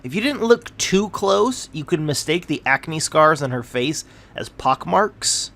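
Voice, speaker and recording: A man's voice: fairly high pitch, sing-song, measured pace, gravelly, fairly bright tone. A man reading a scripted fantasy tale aloud as its narrator.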